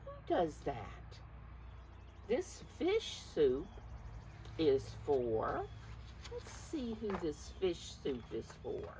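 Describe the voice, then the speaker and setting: A woman reads a story to preschoolers in a slightly low voice with very lively, swooping intonation. She takes her time, coaxing her young listeners and asking them to guess along. There is some background noise in the recording. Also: extremely slow, long pauses. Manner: expressive, coaxing, addresses listeners directly. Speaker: preschool teacher reading a picture book. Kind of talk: animated read-aloud to young children with guessing questions.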